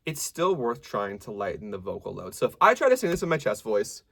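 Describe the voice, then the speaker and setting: A man with a medium-low voice teaching a singing lesson, explaining technique clearly and stopping to sing short examples. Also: brisk. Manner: lively, demonstrative teacher who breaks into sung examples. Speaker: vocal coach.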